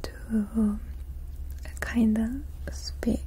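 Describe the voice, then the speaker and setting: A young woman whispering softly into two sensitive foam-covered microphones during an ASMR live stream in a small indoor room. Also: a little high, level tone, drawn-out words, a little hiss or hum. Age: young adult. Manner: soft unvoiced whispering close to the mics. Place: small indoor room before a blue backdrop. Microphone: two foam-covered shotgun mics right at her face.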